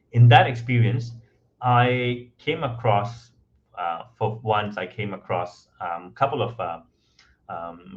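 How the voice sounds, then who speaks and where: A man speaking English as a second language, guesting on a podcast and telling his story in a relaxed, conversational way, with many ums and "right?" tags. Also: low voice, lo-fi sound. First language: not English. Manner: frequent ums and restarts, tag questions of "right?".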